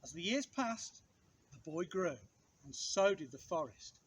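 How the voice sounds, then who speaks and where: A man reading a children's picture book aloud in an expressive way, with measured, dramatic phrasing and pauses for effect. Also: fairly high pitch, husky. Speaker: storybook reader, outdoor teacher.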